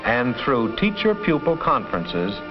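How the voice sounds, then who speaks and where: An earnest male schoolteacher narrates a 1950s educational film with formal, measured phrasing and very lively intonation. Music plays prominently behind him on a dull, old soundtrack. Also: medium pitch. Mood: satisfied.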